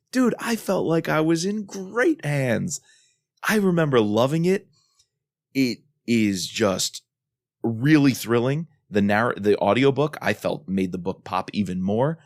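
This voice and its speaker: A man's voice: mid-range voice, no echo, studio quality. A man co-hosting a podcast in a casual, enthusiastic and emphatic way. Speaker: podcast co-host.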